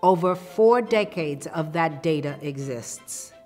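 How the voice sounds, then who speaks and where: A woman educator explaining research in an interview, measured and authoritative. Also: deep voice, animated, darkish tone, big-room sound, faint music behind.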